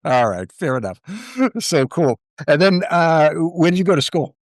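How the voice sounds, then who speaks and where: A man's voice: on the high side, animated, measured pace, dry recording, studio quality. A middle-aged man, interviewing in a casual, informal way as a podcast host.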